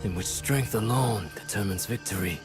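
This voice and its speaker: An actor playing a shrewd, philosophical strategist in a video game, with a measured, assured, oratorical way of speaking. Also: male voice, fairly low pitch, music in the background, close to an everyday voice. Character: shrewd, philosophical male strategist, confident and composed. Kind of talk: video game cutscene acting.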